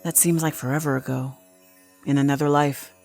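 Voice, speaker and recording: A woman playing a solitary, unflappable night nurse, narrating an audio drama in a measured, confiding way. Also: sits low, steady tone, creaky, darkish tone, soft background music. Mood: pensive, composed. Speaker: actress, narrator. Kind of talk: first-person scripted audio drama monologue.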